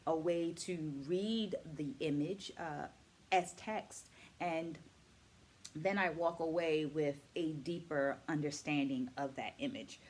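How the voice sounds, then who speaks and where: A woman dance educator talking to viewers in a measured, instructive way as she shares takeaways in a book vlog. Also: deep voice, a little mellow. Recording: noticeable background noise.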